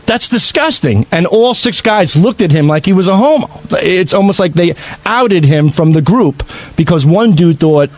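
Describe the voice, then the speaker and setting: A man with a fairly high voice talks on an unscripted radio panel. He argues defensively, often stammering and circling back over the same phrase. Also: expressive, moderate pace, a little mellow. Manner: defensive, stammering, repetitive when arguing. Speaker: radio show regular.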